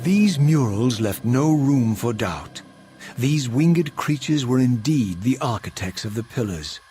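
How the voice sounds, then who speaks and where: An actor playing a proud, bitter undead wraith in a video game, speaking in grave, formal, theatrical and measured tones. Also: male voice, medium pitch, lively intonation, warm tone, soft background music, natural voice. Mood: grave, resolute. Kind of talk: dramatic video game narration and dialogue.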